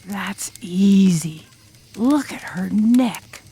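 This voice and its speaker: A woman's voice: medium pitch, animated, warm tone, slightly rough, exaggerated voice. A woman playing an intense, enigmatic girl drum major who tells a scary tale dramatically in an audiobook. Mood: grave. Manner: dramatic, ominous, deliberate.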